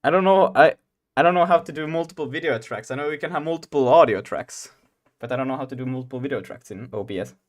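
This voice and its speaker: Young guy rambling to his stream chat, laughs a lot, mid-pitched voice. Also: fast talker. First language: Swedish. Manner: giggly, rambling, talks to chat. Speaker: game dev streamer. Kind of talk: casual live-stream chat.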